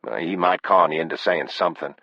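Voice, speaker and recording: A man's voice: low voice, a little fast, bright, forceful tone, no echo, dull audio, heavily acted. A man playing a stubborn, guarded working man from the American South, speaking tersely in an audiobook. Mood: terse insistence.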